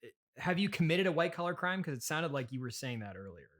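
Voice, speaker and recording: Halting, skeptical male podcast interviewer. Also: fairly high pitch, sing-song, a bit bright. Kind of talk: improvised deadpan comedy interview.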